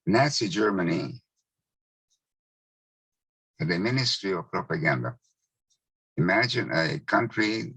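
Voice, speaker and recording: Man in his 90s, Hungarian native speaker, very low voice, gravelly, husky, dry close sound, slow measured telling. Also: fairly bright tone, no echo, rough recording. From Czechoslovakia. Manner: slow, measured recollection with pauses. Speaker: Holocaust survivor speaker. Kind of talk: testimony talk.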